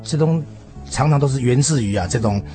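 A man with a Taiwanese Mandarin accent explains things conversationally on the radio, haltingly, repeating phrases and trailing off with particles. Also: mid-range voice, mellow. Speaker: interview guest commentator. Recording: music behind the voice. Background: background music.

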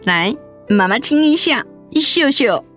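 An actress playing a gentle, hesitant middle-aged mother in a radio drama. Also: high voice, expressive, measured pace, faint music behind. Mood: gentle, reassuring. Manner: gentle, hesitant, conversational with soft fillers.

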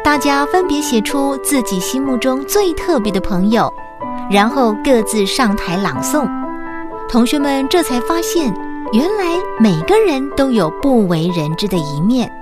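A woman narrating a children's school radio play in Taiwanese Mandarin, expressive and addressed to young listeners. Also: sits high, measured pace. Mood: gentle storytelling. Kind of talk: scripted children's story narration. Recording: music behind the voice.

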